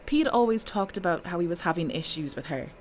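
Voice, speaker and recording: A woman's voice: fairly low pitch, animated, fairly quick, slight background noise, lightly acted. An actress playing a bitter, exasperated female witness in an audio drama, blunt and indignant. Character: bitter, exasperated woman giving a witness statement.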